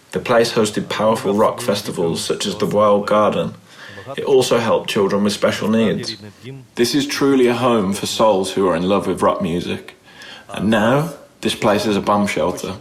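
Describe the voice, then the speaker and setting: A man reading a translated voice-over in an earnest, measured, emphatic manner. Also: lowish voice, rough recording.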